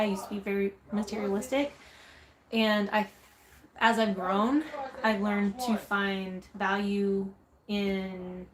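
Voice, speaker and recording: Upbeat chatty Southern woman vlogger. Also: mid-range voice. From Southern United States. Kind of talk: casual chat reading viewer comments.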